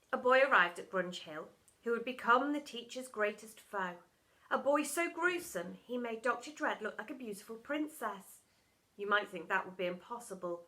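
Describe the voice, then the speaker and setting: A woman reading a children's storybook aloud as expressive narration, with dramatic, emphatic storytelling. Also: mid-range voice. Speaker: primary school story reader.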